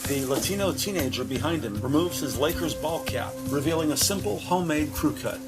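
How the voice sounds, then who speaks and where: A man giving measured, descriptive present-tense narration for a scripted drama. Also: fairly high pitch, lively intonation, over loud music.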